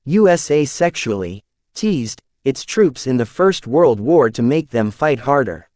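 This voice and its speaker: A man reads a scripted practice passage aloud. His mid-pitched voice moves with lively, varied intonation. The sound is dry, free of any room echo. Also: unhurried. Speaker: exam practice reader.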